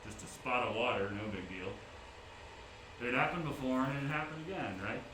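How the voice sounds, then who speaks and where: A man's voice: mid-range voice, moderate pace, close to an everyday voice. An actor playing an aggrieved, desperate man in a dramatic monologue, theatrical, emphatic and bitter in delivery. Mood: weary, resigned. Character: aggrieved, nameless man desperate to regain his name. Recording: echoey room, distant mic, clear noise.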